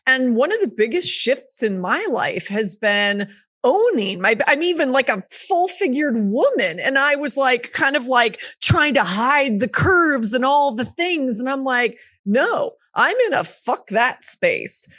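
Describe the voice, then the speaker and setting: A woman's voice: sits high, big pitch swings, unhurried, fairly bright tone, no room sound. A woman in her fifties, a coach and speaker, talking frankly and emphatically as a podcast guest, with the odd swear word. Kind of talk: candid conversational interview.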